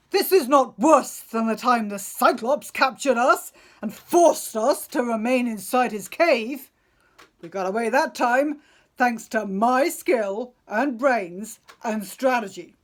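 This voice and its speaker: A woman voicing a brave male Greek sea captain, giving a firm, rallying speech in epic storybook style. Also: a little high.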